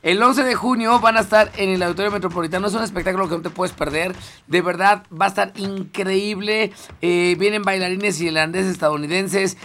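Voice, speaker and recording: Male radio host, Mexican accent, noticeably high voice, joking and teasing in casual on-air banter. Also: fairly even intonation, fairly quick, fairly bright tone, slight background noise.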